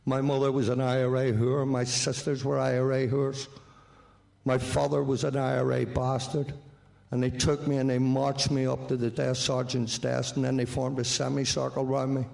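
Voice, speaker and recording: Gravelly Belfast man, flat delivery. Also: mid-range voice, moderate pace. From Belfast, Northern Ireland. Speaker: former prisoner recounting his arrest. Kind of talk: spoken first-person testimony.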